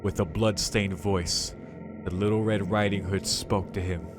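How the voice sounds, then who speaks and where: Low-voiced man reading a visual novel, music behind. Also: steady tone, unhurried. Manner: dramatic reading mixed with casual slangy reactions. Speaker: video game streamer doing a playthrough. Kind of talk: reading visual novel text aloud with off-the-cuff reactions. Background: game music.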